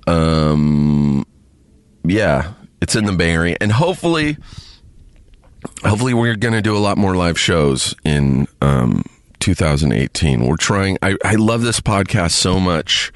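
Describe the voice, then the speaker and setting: Man, extremely low voice, sniffly, halting podcast chatter, dry close sound. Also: big pitch swings, no echo. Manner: rambling, halting, many fillers and restarts. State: sniffly from sinus congestion. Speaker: podcast host. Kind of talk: casual solo chat to listeners.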